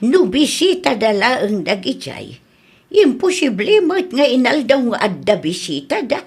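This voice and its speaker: Woman acting husky, high-pitched nosy Ilocano mother. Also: very animated, a little slow, full character voice. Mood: suspicious. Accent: Ilocano Filipino. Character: nosy, suspicious older village mother in comedy drama. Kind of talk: Ilocano radio drama acting.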